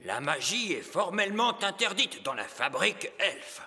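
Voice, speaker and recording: Pompous, high-strung cartoon elf boss. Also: male voice, normal speed, in a large hall, full character voice. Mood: firm. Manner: pompous, excitable, explanatory. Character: officious, fussy male elf craftsman in charge. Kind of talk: French cartoon dub acting.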